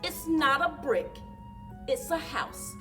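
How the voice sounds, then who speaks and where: A woman's voice: sits high, lively intonation, takes it slowly, recorded from a distance, over music, voice pushed up. A woman voicing a stubborn, indignant little girl animal from a storybook, insistent and emphatic. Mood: defiant.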